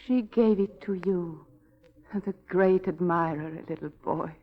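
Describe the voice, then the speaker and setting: A fragile, frightened wife in a 1940s radio drama. Her voice sits fairly low and comes breathless and faltering, full of emotion, on a dull, muffled old recording. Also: measured pace. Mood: agitated, guarded.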